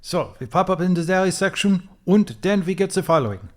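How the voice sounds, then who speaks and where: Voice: mid-range voice, lively intonation, fairly quick, natural voice. An actor playing an eccentric East German scientist with a German accent in a comedic audio drama, effusive and rambling with absurd earnestness. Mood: cheerful.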